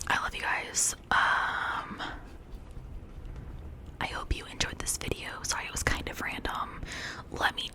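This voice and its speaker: Whispering woman in a casual ASMR ramble. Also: very high-pitched. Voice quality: breathy unvoiced whisper. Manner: rambling, informal, chatty. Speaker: ASMR video creator.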